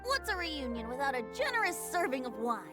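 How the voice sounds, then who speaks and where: Breezy, teasing young female wine lover for a game, high-pitched, theatrical, over background music. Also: sing-song, brisk. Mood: playful. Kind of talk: video game cutscene voice acting.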